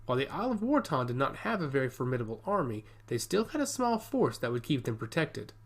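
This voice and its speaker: A man's voice: mid-range voice, very animated, fast talker. A man narrating a scripted fantasy story as its storyteller.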